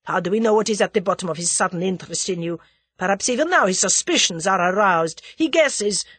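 Woman voicing a snarling, husky Russian male conspirator. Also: mid-range voice, expressive, fairly quick, no room sound. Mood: despairing suspicion. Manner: snarling, forceful, exasperated delivery. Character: bitter, agitated, menacing adult Russian male conspirator. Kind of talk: dramatised audiobook character dialogue.

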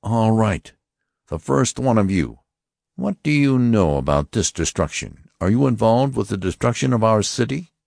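A man voicing a stern male Martian officer who interrogates passengers in a slow, commanding, threatening way. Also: on the low side, sing-song, creaky, a little mellow, no echo, studio quality, voice pushed up, exaggerated voice. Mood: menacing.

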